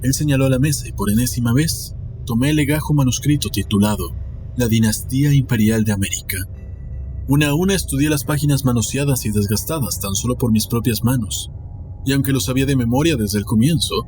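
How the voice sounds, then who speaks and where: A man's voice: medium pitch, fairly quick. A man reading a scripted story aloud as a dramatic audiobook narrator. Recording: music behind the voice. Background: music.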